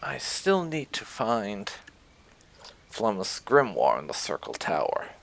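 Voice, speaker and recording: A man's voice: lowish voice, wildly swooping pitch, slow, vocal fry, fairly bright tone. A man hosting a gaming playthrough, giving casual commentary with light roleplay. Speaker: gaming video host.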